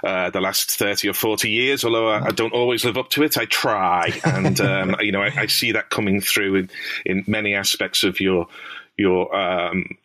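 Casting: Liverpool-accented male host, low pitched, bright tense voice, chatty. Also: poor audio. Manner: chatty, hesitant restarts, bookish references. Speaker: podcast host. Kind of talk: interview chat.

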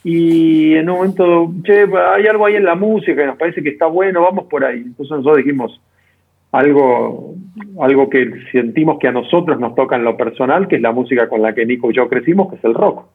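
A man's voice: fairly high pitch, brisk, slightly rough. Argentine man on a tinny, phone-like line. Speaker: interview guest, documentary director. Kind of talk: conversational interview answers. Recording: tinny, narrow phone-like call audio.

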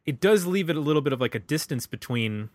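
A man's voice: on the high side, lively intonation, fast talker, dry recording. A man co-hosting a podcast, speaking in a hesitant, questioning way about an album. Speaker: podcast co-host. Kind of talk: casual album discussion.

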